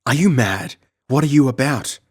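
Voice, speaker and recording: A man voicing an outraged, desperate young noblewoman who pleads and screams in a high, shrill voice. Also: expressive, normal speed, a little mellow, breathy edge, no echo, studio quality.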